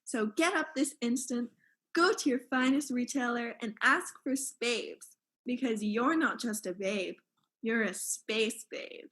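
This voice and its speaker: An actress playing a haughty, self-adoring fashion mogul in a parody ad, with a theatrical delivery. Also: female voice, on the high side, a little slow, a bit bright. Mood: haughty, gloating. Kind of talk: parody advertisement.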